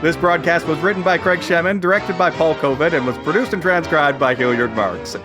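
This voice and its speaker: An actor playing a polished, formal announcer reading the scripted credits of a classic radio show. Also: male voice, a little high, fairly quick, music in the background, natural voice. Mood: formal, upbeat.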